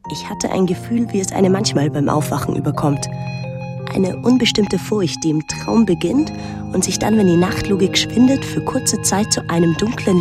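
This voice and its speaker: A young actress playing a pensive, detached teenage daughter, narrating a literary radio drama in a measured, introspective way. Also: female voice, on the low side, close to an everyday voice. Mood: uneasy, reflective.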